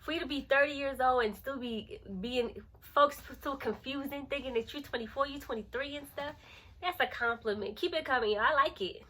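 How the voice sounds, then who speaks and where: Bright, high-voiced young woman vlogger. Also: fairly quick. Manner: animated, playful, emphatic, repeats phrases for effect. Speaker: lifestyle vlogger and channel host. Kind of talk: casual chatty vlog to camera.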